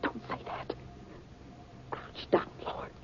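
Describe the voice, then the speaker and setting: An actress playing a frightened married woman in a tense, breathy 1950s radio drama performance. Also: slow pace, in a whisper, close to an everyday voice. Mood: terrified hush. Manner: tense, breathy, hushed to panicked. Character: frightened married woman trapped by a monstrous moth. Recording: muffled, lo-fi 1950s radio recording.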